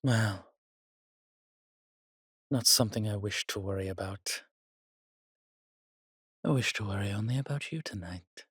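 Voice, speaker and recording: Man voicing a husky, courtly vampire seducer. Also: fairly low pitch, unhurried, long pauses, a bit bright, dry recording, studio quality. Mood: reassuring, possessive. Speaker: voice actor in an audio drama. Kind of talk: intimate romantic audio roleplay addressed to the listener.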